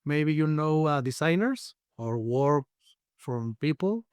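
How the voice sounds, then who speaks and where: A man's voice: on the high side, animated, slow, darkish tone, smooth, no room sound, clean studio sound. A man with a Mexican accent, a native Spanish speaker, hosting a conversational interview. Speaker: podcast host, interviewer.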